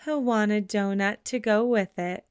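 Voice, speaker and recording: A woman reading a children's picture book aloud in an expressive way, slowing down for the closing lines. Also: medium pitch, clear, resonant tone, no room sound, studio quality. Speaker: storybook reader. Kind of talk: children's read-aloud narration.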